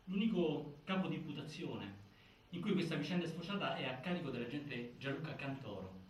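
A man playing a grave narrator of a prison-death inquiry, with deliberate, broken phrasing in a stage drama. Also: on the high side, expressive, highs cut off, lightly acted. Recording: very echoey, distant, faint noise.

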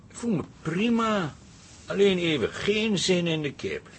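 Comic radio drama: wry, weary pub landlord, higher-pitched and lively, faint ambience behind. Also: male voice, measured pace, a little hiss or hum, heavily acted. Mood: listless, weary. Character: weary, teasing married man who runs a pub.

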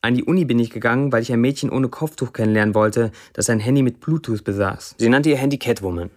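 An actor playing a sardonic Syrian blacksmith who writes Facebook posts, delivered as a literary monologue in a radio play. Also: male voice, medium pitch, quick pace, a little mellow, natural voice. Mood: wry.

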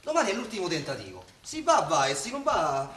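An excitable Roman working man in a radio drama. His high voice swings widely up and down, rough in language. It is recorded in an echoey space. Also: a little fast, heavily acted. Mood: conciliatory. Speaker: actor. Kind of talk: radio play acting. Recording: echoey room, clear background noise.

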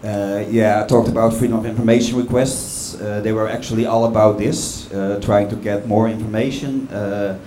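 A man on a panel answering audience questions with a hesitant, measured delivery full of fillers. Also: lowish voice, steady tone, warm tone. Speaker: panel speaker. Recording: echoey room with background noise.

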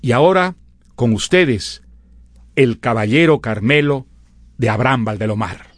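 A man giving scripted literary commentary as a presenter, in an erudite, formal and measured manner. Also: mid-range voice. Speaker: presenter introducing a literary radio drama.

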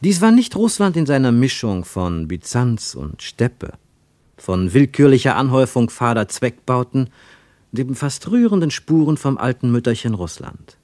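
A man narrating a literary radio play with measured, deliberate phrasing and dramatic pauses. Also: mid-range voice, big pitch swings, a little mellow, no room sound.

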